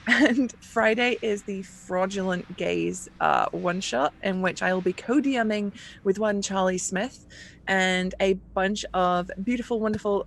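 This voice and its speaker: A woman with noticeable vocal fry talks in a casual, wry way. Their pitch is mid-range, and they sound like a game player running through a week of streams. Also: fairly bright tone. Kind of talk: casual chat.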